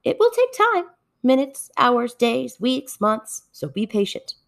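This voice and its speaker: A woman voicing a clever, mischievous male fox salesman with excitable, wheedling patter in a storybook. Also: fairly high pitch, slow, vocal fry, voice pushed up, full character voice. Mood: persuasive.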